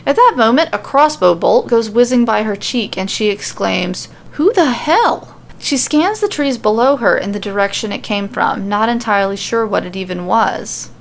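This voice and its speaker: A woman's voice: mid-range voice, expressive, moderate pace, vocal fry, hardly any pauses, a little hiss or hum. A woman reading scripted fiction as the narrator of an audio story.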